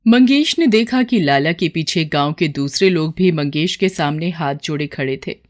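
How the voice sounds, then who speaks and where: A woman narrates a scripted story in a somewhat low voice. She works as a storyteller, carrying the tale from scene to scene. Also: lively intonation, normal speed.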